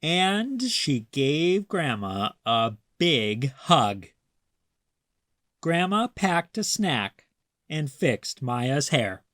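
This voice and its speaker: Very slow, expressive children's storybook reader. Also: medium pitch, no echo, clean studio sound. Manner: deliberate, emphasizes words for young listeners. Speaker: children's read-aloud narrator. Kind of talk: expressive picture-book storytelling.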